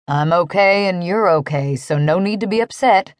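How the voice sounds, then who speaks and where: Easygoing, kindly cowboy for a storybook romance female narrator acting him, pitched down, calm drawl, dry close sound. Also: measured pace, dry recording. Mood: easy, soothing.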